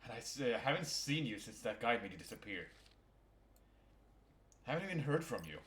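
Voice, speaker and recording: A man's voice: medium pitch, big pitch swings, a little fast, a bit bright, echoey room, slight background noise. A man voicing an earnest, awkward teenage boy with hesitant delivery in a video game fandub. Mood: sad, longing.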